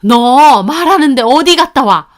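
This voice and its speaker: Domineering older mother-in-law, played by a female narrator, high-voiced, indignant, scolding; audio story. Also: expressive, measured pace. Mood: outraged.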